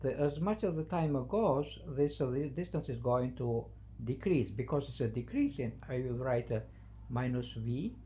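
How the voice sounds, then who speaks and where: A non-native English speaker teaching math, thinking aloud haltingly and repeating phrases while writing out the steps. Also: medium pitch, mellow. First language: not English. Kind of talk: math lesson, working through a problem at the board. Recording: noisy, with a constant hum.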